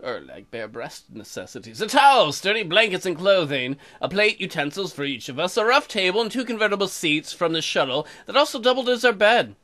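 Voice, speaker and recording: A man's voice: high-pitched, very animated, a little fast, few pauses, sharp tone. A man hosting a let's play, mixing crude, mocking commentary with reading game text aloud.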